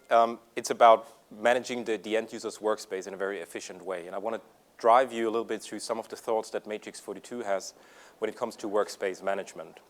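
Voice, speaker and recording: A man from Germany with a German accent giving a conference presentation in English, with frequent ums and uhs. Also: fairly low pitch. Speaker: conference speaker. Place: conference room.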